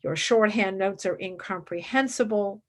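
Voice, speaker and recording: A woman's voice: medium pitch, moderate pace, lightly acted. An actress playing a fervent young female philosophy student in a radio play, dramatic and intensely devoted. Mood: frustrated. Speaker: actor.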